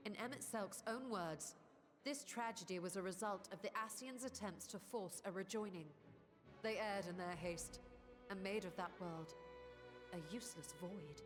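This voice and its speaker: An actress voicing a composed, scholarly sorceress in a video game, speaking formally and articulately. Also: female voice, medium pitch, natural voice. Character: scholarly sorceress, composed, eloquent, keen for discovery. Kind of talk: scripted video game voice acting. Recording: long reverb, music behind.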